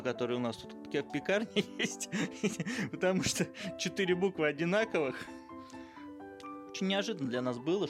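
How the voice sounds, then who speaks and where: A middle-aged father rambles and jokes through a casual home recording, his voice sitting at an ordinary pitch. Clear music plays underneath him throughout. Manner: joking, rambling. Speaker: guest parent.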